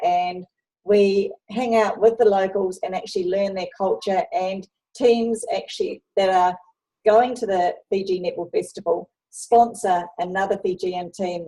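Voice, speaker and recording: Woman, New Zealand accent, interview host, mellow, chatty. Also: mid-range voice, poor audio. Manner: chatty, frequent ums. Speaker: host presenting a netball travel program. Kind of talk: presentation interview with slides.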